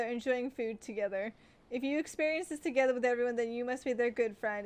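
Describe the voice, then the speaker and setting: A woman game streamer reading game text aloud like a storybook and reacting live in a gushing manner. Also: sits high.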